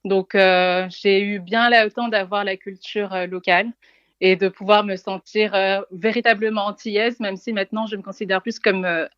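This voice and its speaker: A woman's voice: mid-range voice, steady tone, normal speed, fairly bright tone, smooth, dry recording. Earnest young woman on a dull line. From French Guiana. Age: 20s. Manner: articulate and earnest, with occasional fillers. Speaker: interview guest, entrepreneur. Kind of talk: conversational interview.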